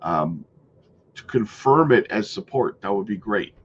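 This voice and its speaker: A man's voice: lowish voice, animated, unhurried, lo-fi sound, a little hiss or hum. A man hosting a casual crypto market update, sick and stuffy with a worn-out voice, talking chattily and checking in with his viewers. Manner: chatty, keeps checking in with "right?" and "guys". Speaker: crypto channel host.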